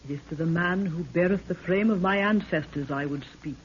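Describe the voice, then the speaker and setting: A woman's voice: lowish voice, fairly quick, close to an everyday voice. An actress playing a solemn, fearful queen of a lost people, with stately archaic diction, in an old radio drama. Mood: solemn, urgent. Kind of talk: 1930s radio drama acting. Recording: muffled, noisy 1930s radio recording.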